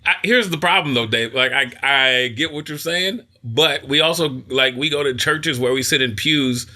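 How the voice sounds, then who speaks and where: Man, mid thirties, very bright voice, a bit high, laughing and teasing, podcast co-host. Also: moderate pace. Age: 30s. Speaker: podcast co-host and college soccer coach. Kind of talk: casual chat.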